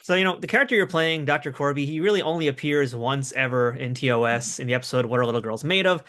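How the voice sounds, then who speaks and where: A man's voice: mid-range voice, quick pace, fairly bright tone. A man hosting a casual interview in a chatty, enthusiastic way. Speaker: podcast host, interviewer.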